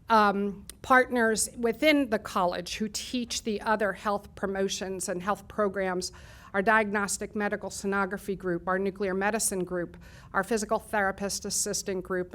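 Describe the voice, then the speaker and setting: Middle-aged woman, college program leader, formal ceremony speech, reads long lists of thanks. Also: medium pitch.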